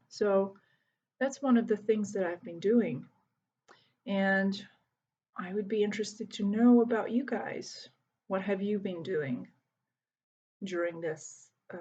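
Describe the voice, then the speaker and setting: A woman in her thirties from Hungary, introducing herself slowly and carefully to students, with many pauses and fillers. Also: medium pitch, mellow, husky, muffled recording. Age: 30s. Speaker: volunteer English teacher. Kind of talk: recorded self-introduction to students.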